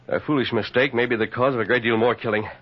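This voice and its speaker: An actor playing a steady, earnest, authoritative cowboy hero in an old-time radio western. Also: male voice, medium pitch, fast, lightly acted. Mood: grave, commanding. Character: steady, commanding heroic masked cowboy lawman. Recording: muffled, noisy old radio recording.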